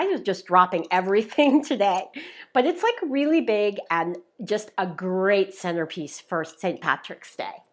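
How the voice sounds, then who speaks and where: A woman hosting a live craft tutorial, explaining each step in a chatty, upbeat way, her voice a little worn. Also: mid-range voice. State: slightly losing her voice. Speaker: craft demonstrator, show host.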